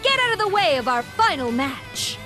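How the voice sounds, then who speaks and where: A woman's voice: very high-pitched, sing-song, moderate pace, music in the background. A woman voicing a proud, commanding young warrior goddess in an anime game, with formal, imperious delivery. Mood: indignant. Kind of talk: dramatic anime game acting.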